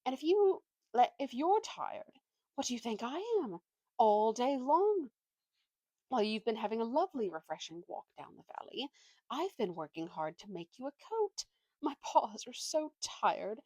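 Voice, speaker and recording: A woman's voice: on the high side, big pitch swings, a little slow, dark tone, no room sound, pitched above the performer's own voice. A woman voicing a manipulative, self-pitying male talking ape in a storybook, with a bossy, wheedling, indignant manner. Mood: wounded, indignant.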